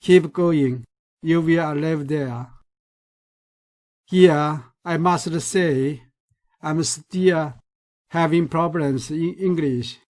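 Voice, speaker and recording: Chinese-accented male researcher, lecture voice-over, unhurried and careful, slightly raised pitch. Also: a little mellow. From China. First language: Mandarin Chinese. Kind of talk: recorded lecture.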